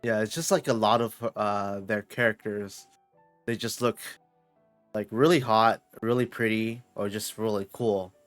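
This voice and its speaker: A man's voice: on the low side, fairly even intonation, measured pace, soft background music. A man streaming a game, chatting casually in a rambling way with lots of fillers. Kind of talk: casual commentary over gameplay.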